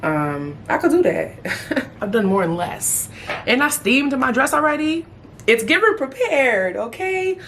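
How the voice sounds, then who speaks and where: A woman in her thirties chatting casually as a beauty vlogger while doing her makeup, playful, with sudden high exclamations. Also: very animated, measured pace, fairly bright tone, slight background noise. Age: 30s. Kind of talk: casual get-ready-with-me chat.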